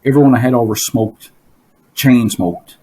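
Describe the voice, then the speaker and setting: A hoarse man hosting a casual podcast from his basement, rambling through stories and laughing at his own jokes. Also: mid-range voice, moderate pace, mellow, rough recording. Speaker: podcast host. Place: home basement.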